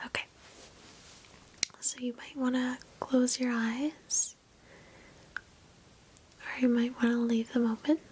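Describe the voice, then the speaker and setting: Woman whispering a very slow guided meditation. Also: fairly high pitch, slight background noise, poor audio. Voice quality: soft, breathy whisper. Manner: gentle, soothing, reassuring. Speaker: ASMR host guiding a meditation. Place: indoors, sitting on a bed.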